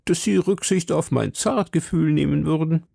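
A man's voice: fairly high pitch, normal speed, creaky, dark tone, dry recording, clean studio sound, voice pushed up. A man voicing a timid, deferential middle-aged landlord in an audiobook, hesitant and formally polite. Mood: nervous, deferential. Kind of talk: audiobook character dialogue.

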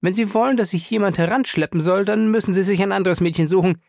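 A man voicing a wary, sharp-tongued woman in an audiobook, curt, indignant and suspicious. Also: high-pitched, quick pace, gravelly, no echo, clean studio sound, voice pushed up. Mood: firm, suspicious. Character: wary, sharp-tongued woman guarding her good reputation. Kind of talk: audiobook character dialogue.

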